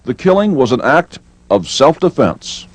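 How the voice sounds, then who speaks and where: A man's voice: mid-range voice, sing-song, unhurried, a little hiss or hum. A man narrating a news report from a script as a reporter.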